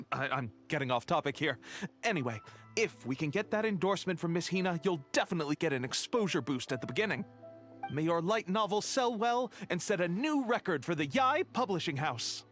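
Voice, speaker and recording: A man's voice: sits high, sing-song, fairly quick, a bit bright, soft background music. A man voicing an earnest, obliging, rambling and polite publishing clerk in a video game. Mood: sheepish, encouraging. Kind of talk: video game character acting.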